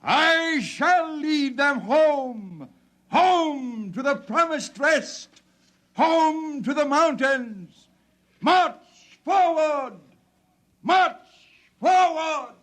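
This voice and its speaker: A man's voice: very high voice, animated, drawn-out words, a bit bright, exaggerated voice. A man playing a solemn old prophet-like leader of the Martians in a 1950s radio drama, grave and oratorical. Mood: rousing, exalted.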